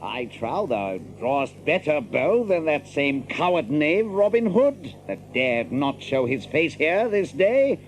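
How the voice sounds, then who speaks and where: Pompous, wildly swooping haughty lord. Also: male voice, a little high, sing-song, slow pace, hardly any pauses, slight background noise, low-quality recording. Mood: lordly. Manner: pompous, archaic speech. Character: haughty, bullying lord presiding over archery contest. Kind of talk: theatrical audio drama acting.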